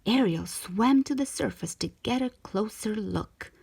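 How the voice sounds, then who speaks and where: Female storybook narrator for young children, slow and lively, with a slightly breathy edge. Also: mid-range voice. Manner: expressive, measured storytelling for children. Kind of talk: scripted storybook narration, read aloud.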